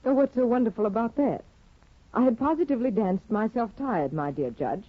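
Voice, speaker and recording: Disdainful upper-class young wife for vintage radio drama; sardonic, imperious, very animated melody, muffled period recording. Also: female voice, a little high, fairly quick, no echo. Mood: scornful. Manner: haughty, sardonic, theatrical. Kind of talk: 1950s radio drama acting.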